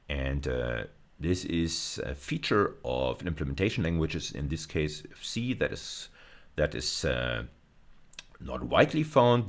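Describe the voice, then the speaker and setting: Very deep, creaky-voiced male lecturer. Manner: halting, repeats and rephrases phrases as explaining. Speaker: university lecturer. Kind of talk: informal technical video lecture, explaining slides and code.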